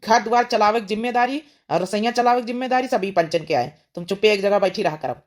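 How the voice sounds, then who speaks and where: A woman's voice: medium pitch, quick pace, close to an everyday voice. A woman playing a protective young village son in an Awadhi cartoon comedy, speaking in a firm, admonishing manner.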